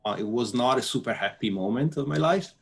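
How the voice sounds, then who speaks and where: A man from northern Italy, answering interview questions in a relaxed, hesitant way with fillers. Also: mid-range voice, fairly quick, creaky, husky. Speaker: guest, software architect.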